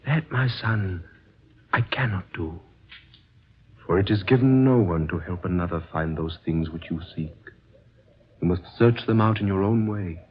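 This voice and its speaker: An actor playing a wise old mystical sage in a vintage radio drama, speaking gravely in formal, oracular phrases. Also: male voice, sits low, measured pace, pauses often. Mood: solemn, patient. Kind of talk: 1940s radio drama acting. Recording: noisy, dull vintage radio recording.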